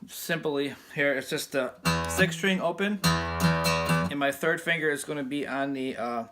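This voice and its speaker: A man with a fairly high voice giving a casual guitar lesson in a loose, rambling way. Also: animated, a little slow. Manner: loose, rambling, restarts often. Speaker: guitar teacher. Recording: guitar playing loud behind him.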